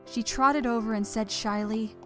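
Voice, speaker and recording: A woman's voice: fairly high pitch, fairly even intonation, normal speed, fairly bright tone, faint music behind. A woman reading scripted story narration expressively as the narrator.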